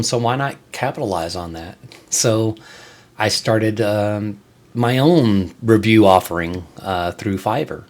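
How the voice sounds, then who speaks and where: A man with a fairly low voice hosts his own show in a casual monologue. He explains things informally to his viewers, feeling his way through sentences with a halting delivery. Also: darkish tone. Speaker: host of a channel on music reviews and tabletop games.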